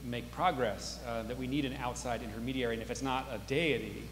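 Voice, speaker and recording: Male moderator, mid-pitched and brisk, intellectual and reflective, live in an echoey hall. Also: fairly even intonation. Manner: reflective, intellectual, appreciative of speakers. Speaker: moderator of an audience discussion. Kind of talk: live discussion moderating, thoughtful and off the cuff. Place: large auditorium with a balcony. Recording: echoey reverberant hall, faint hum and noise.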